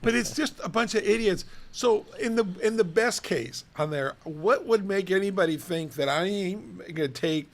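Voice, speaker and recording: A middle-aged man talks in a casual co-host chat, his voice raspy and gravelly with plenty of fry. He sits a little high in pitch and tells his stories with animated, chatty energy. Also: moderate pace, few pauses. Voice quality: raspy today. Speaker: co-host, city official.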